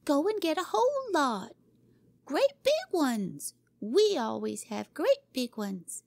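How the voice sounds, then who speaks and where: A woman voicing a bossy, excitable little girl in a storybook reading, shrill and commanding. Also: slow pace, creaky, dry recording, clean studio sound, exaggerated voice. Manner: shrill, imperious, gleeful.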